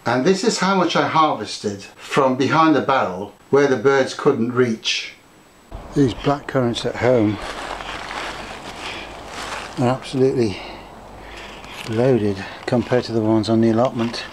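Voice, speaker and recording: A man's voice: mid-range voice, unhurried, pauses often, echoey room, slight background noise. An older man chatting to camera about his garden, telling a story and gesturing with his hands. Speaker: gardening vlogger. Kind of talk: casual talk to camera. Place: home conservatory, indoors.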